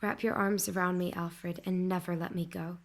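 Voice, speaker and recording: A woman's voice: fairly low pitch, little pitch movement, moderate pace, lightly acted. An actress playing a struggling, jittery young woman in love in a Depression-era radio play, earnest and guarded. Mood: earnest, uneasy. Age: young adult.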